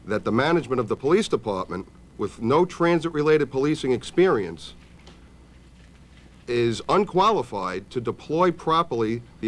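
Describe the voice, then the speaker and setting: A man's voice: medium pitch, a little hiss or hum. A man making a formal statement in a television news interview.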